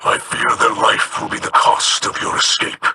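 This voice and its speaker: A man voicing a grave, weary alien pirate captain in a video game, with a gravelly, formal delivery. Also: moderate pace, pushed and bright. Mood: grave, urgent. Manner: solemn, formal. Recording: noisy game audio.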